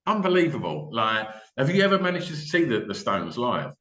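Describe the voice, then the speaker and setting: A man's voice: medium pitch, wildly swooping pitch, a little fast, a bit bright. A man with an English accent hosting a podcast, chatting in an enthusiastic, informal way. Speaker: podcast host. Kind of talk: casual interview chat. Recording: echoey room sound.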